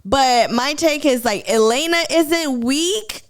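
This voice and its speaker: A woman's voice: high voice, lively intonation, takes it slowly. A woman from Kenya with a Kenyan accent, chatting casually to viewers on a live stream about a TV show. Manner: chatty, addresses viewers as y'all.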